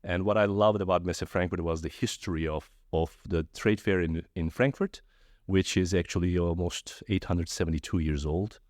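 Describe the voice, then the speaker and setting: A middle-aged man from Turkey, a guest in a podcast interview, telling stories in a friendly, animated way with frequent restarts. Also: low-pitched, moderate pace, no echo, clean studio sound. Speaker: guest, trade fair executive. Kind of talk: conversational interview.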